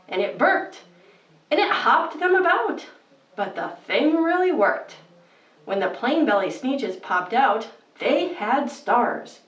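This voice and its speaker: A woman reading a bedtime story to young children with exaggerated, sing-song emphasis. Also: a little high. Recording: roomy, distant microphone.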